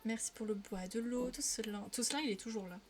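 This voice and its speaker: A woman's voice: a little high, fairly quick, faint music behind. A young woman from the Paris region chatting casually on a live stream while she colors, often laughing and answering viewers' messages. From Paris region, France.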